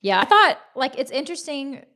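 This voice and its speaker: A woman's voice: sits high, very animated, fairly quick, sharp tone. A woman in her thirties from Tennessee with a Southern American accent, hosting a casual livestream, chatting, laughing often and greeting viewers by name. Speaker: livestream host. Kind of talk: casual chat reading comments.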